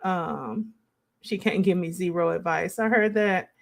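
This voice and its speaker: Slow-paced woman musing on a live stream. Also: fairly low pitch, vocal fry. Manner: conversational, musing, thinking aloud. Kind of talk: casual reaction commentary, reading and answering live chat comments.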